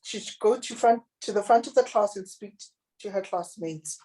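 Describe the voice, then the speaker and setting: A woman with a deep, husky, raspy voice reading her own children's picture book aloud and chatting about it. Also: low-quality recording. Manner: gentle, unhurried reading aloud with picture commentary. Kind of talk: children's storybook reading, then casual chat.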